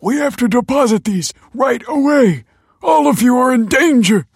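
An old father, paranoid and agitated, in an audiobook. The voice is pushed very high and swings widely, with a rough husky edge. He sounds desperate and indignant, straining to be believed. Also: animated, moderate pace, no room sound, studio quality, heavily acted. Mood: panicked, urgent.

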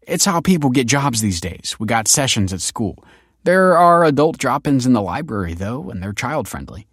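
Audiobook young lead man narrating: sincere, upbeat, easygoing, lightly raised pitch, peacemaker manner. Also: very animated, moderate pace, a bit bright, breathy edge, dry recording, clean studio sound. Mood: placating. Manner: conciliatory, eager, sincere.

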